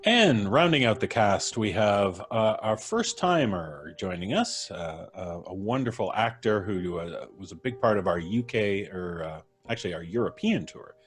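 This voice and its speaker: A man hosting a casual live stream, presenting in a courteous, unhurried way. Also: on the low side, lively intonation. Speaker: podcast host introducing the cast.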